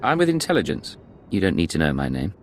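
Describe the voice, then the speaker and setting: An actor playing a cool, professional undercover agent in a video game, curt and controlled. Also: male voice, deep voice, wildly swooping pitch, quick pace, soft background music, exaggerated voice. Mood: guarded, professional.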